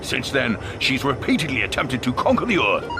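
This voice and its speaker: A man's voice: medium pitch, big pitch swings, fairly quick, music in the background. A man playing a boastful, deferential military robot sergeant major in a sci-fi audio drama. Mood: boastful, chatty.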